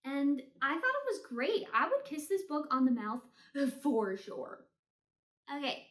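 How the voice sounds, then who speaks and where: A woman's voice: high-pitched, animated, unhurried, fairly bright tone. A woman giving a casual, chatty book review with blunt, hyperbolic opinions and slangy phrasing. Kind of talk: casual chatty ranking of books read. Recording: roomy, echoey sound.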